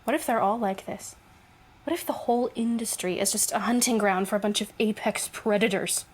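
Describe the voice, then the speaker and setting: A woman acts as a disillusioned young actress in an audio drama. She speaks at a middle pitch, with a wry, wounded temper underneath. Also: brisk, husky, lightly acted. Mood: anxious.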